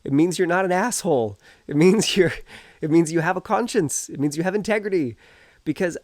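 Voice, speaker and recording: A man's voice: high-pitched, brisk, a little mellow, dry recording, clean studio sound. A man with a cold and a stuffed-up nose, earnestly encouraging viewers as a self-help coach while talking outdoors. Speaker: energy healer and coach.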